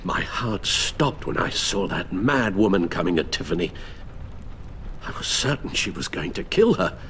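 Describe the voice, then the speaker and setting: Man doing older british butler, formal and worried, very expressive, noisy ambience behind. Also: medium pitch, close to an everyday voice. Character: loyal older male butler, anxious, fatherly, formal. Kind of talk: video game voice acting.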